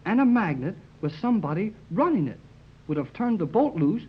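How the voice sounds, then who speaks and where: An actor playing an eager, impulsive American boy adventurer in a 1930s radio serial, excitable and full of exclamations. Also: high-pitched, fairly quick, slightly rough, heavily acted. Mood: excited. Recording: dull old 1930s radio recording.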